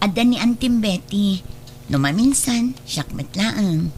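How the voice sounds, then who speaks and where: A woman's voice: mid-range voice, lively intonation, a little slow, a little mellow, lightly acted. A woman acting a wronged, protective middle-aged mother in an Ilocano radio drama, speaking earnestly. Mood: tender. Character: wronged protective mother, firm, hurt and resolute. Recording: slightly noisy radio drama track.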